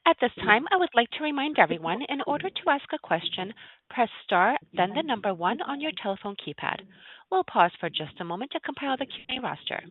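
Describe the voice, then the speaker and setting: Lively female operator on a phone line. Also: a little high, fast. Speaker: conference call operator. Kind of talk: scripted call instructions.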